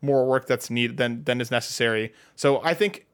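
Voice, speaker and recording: A man's voice: mid-range voice, normal speed, fairly bright tone, clean tone. A man co-hosting a casual gaming podcast, talking through opinions and listener comments. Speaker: podcast co-host.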